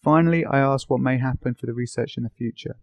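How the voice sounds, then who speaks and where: A man's voice: mid-range voice, fast, heavy background noise. A man narrating a science video from a script as interviewer and presenter.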